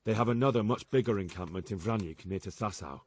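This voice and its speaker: A man's voice: on the low side, quick pace, natural voice. An actor voicing an earnest, respectful young man-at-arms in a medieval video game cutscene. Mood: grave, reporting. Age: young adult.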